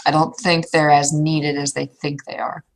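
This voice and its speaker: A woman's voice: sits low, little pitch movement, normal speed. A woman playing a blunt, kindly, world-weary female troll in a casual tabletop roleplay. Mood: frank.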